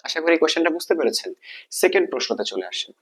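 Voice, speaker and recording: A man's voice: on the high side, very animated, racing, slightly rough. A man with a Bangladeshi accent teaching English grammar step by step, often repeating points and posing questions he then answers. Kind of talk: explanatory exam-prep lesson, step by step.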